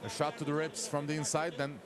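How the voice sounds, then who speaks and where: A man with a Turkish accent giving live play-by-play of a boxing fight, rising to shouts at big moments. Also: on the high side, flat delivery, brisk. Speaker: fight commentator. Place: boxing arena. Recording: loud arena crowd and music behind.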